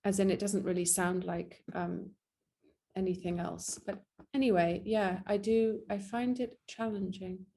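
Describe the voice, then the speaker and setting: English actress chatting candidly. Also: female voice, medium pitch, fairly even intonation, measured pace, creaky, mellow. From England. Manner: candid, self-deprecating, hesitant at times. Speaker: actress and guest. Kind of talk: casual interview chat.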